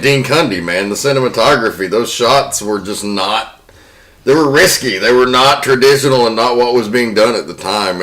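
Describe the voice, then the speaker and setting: A man's voice: on the low side, bright, forceful tone. A man hosting a podcast, presenting film trivia in an informal way. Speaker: podcast host.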